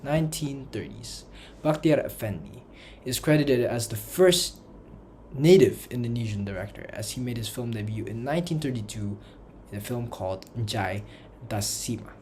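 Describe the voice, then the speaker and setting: A man's voice: medium pitch, lively intonation, normal speed, darkish tone, a little hiss or hum. A man reading a scripted history narration for a personal school project, in careful textbook phrasing. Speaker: student narrator.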